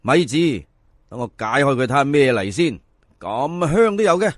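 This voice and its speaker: A male storyteller plays a poor teenage Cantonese fisherman from a folk tale. He is curious, excitable and kindly, muttering his wonder in everyday words. The pitch moves about freely, and the sound is dry. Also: normal speed, no room sound, lightly acted. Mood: eager curiosity. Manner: wondering aloud, colloquial.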